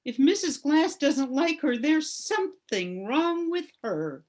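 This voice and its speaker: A woman's voice: high-pitched, very animated, slow, a little mellow, exaggerated voice. A woman playing a supportive, wry, protective friend in an amateur radio play. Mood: reassuring.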